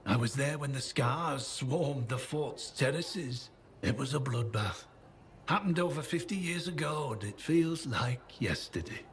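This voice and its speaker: An actor playing a weathered, boastful yet haunted old survivor in a video game, with a folksy, plain-spoken manner. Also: male voice, mid-range voice, unhurried, close to an everyday voice. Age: older. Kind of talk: video game dialogue acting. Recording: clear ambient noise behind the voice.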